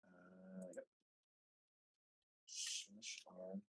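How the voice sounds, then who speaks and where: Low, husky man muttering through a game. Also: completely flat delivery, extremely slow, sharp tone. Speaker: tabletop game player. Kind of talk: casual chat during online game.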